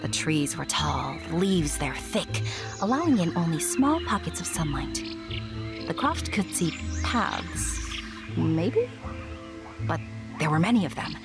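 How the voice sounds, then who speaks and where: A woman's voice: low voice, big pitch swings, a little slow, music in the background. A woman narrating a scripted storybook tale with wry, playful asides to the listener.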